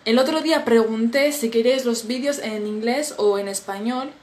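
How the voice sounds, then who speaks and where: A young woman from with a slightly high voice, chatting casually into a phone at arm's length. She reads comments aloud and reacts in a friendly, drowsy way. Faint noise sits under the small room sound. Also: moderate pace, darkish tone. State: sleepy. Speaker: Spanish teacher hosting a live stream. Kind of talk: casual live-stream chat reading viewer comments. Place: small indoor room at a table. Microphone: phone camera at arm's length.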